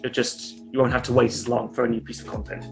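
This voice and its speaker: A man in his thirties chats as a guest over a webcam from his living room. He talks in an easygoing, self-deprecating way, stalling and restarting as he goes, at a middling pitch. Faint music plays underneath. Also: completely flat delivery, fast talker, gravelly. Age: 30s. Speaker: YouTube creator guest. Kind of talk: casual video-call interview. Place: home room with sofa.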